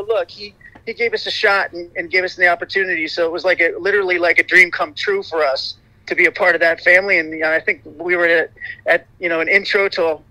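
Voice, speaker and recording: A middle-aged man with a high, light voice, giving a rambling interview full of "you know" fillers and restarts. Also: sharp tone, no room sound, low-quality recording. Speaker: interview guest, singer in a vocal group. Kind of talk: casual interview chat.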